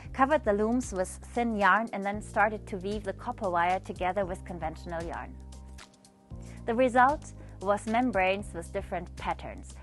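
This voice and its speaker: Woman presenting over music. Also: mid-range voice. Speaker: textile artist presenting own project. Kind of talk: scripted presentation.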